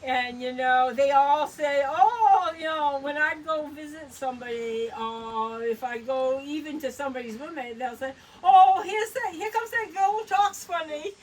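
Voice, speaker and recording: Older woman with a Maine accent, rambling and halting, high voice, slightly distant mic with faint noise. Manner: rambling, halting storyteller who laughs often. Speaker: interviewee. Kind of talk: casual family interview. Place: front porch outdoors.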